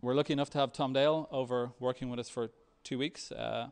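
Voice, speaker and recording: A man presents software at a tech meetup in a mid-pitched voice with noticeable creak. His delivery is halting and hesitant, in the style of a developer walking an audience through a demo. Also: steady tone. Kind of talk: conference talk with live demo.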